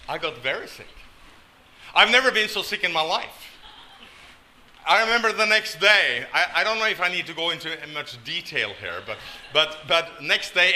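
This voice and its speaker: A man's voice: high voice, sharp tone, a little hiss or hum. An older man from Norway preaching a sermon, telling stories with folksy, self-deprecating humor. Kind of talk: sermon with storytelling.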